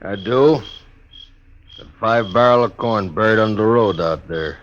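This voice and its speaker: An actor playing a quiet, troubled, gray-haired frontier station keeper with a terse Western American delivery in a radio western. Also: male voice, on the low side, measured pace, highs cut off, lightly acted. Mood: guarded. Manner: terse, laconic. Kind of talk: old-time radio western acting. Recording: old radio recording with background noise.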